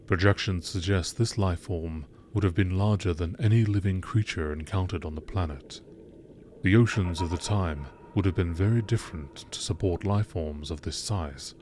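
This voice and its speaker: A man's voice: low voice, darkish tone. Man narrating like a nature documentary, noisy background. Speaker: narrator reading game lore entries. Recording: clearly noisy background ambience.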